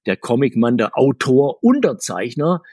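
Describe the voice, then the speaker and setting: Male interview guest, husky tone, talkative and self-correcting, dry close sound. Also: mid-range voice, very animated, a little slow, darkish tone, dry recording. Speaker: guest, comics author. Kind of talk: conversational podcast interview.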